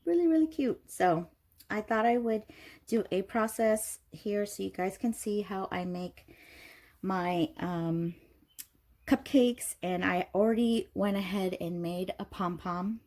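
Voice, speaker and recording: A woman's voice: a little slow, darkish tone. A woman with a stuffy, allergy-clogged voice, chatting casually through a craft tutorial at home, full of ums and little asides. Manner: chatty and rambling, many ums, gushes in a high voice over cute things. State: stuffy from allergies, clearing throat. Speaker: craft video host.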